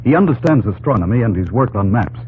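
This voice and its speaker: An actor playing a resolute, respectful army captain in an old-time radio drama, earnest and measured. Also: male voice, mid-range voice, lively intonation, natural voice. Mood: respectful, thoughtful. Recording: tinny, hissy old radio recording.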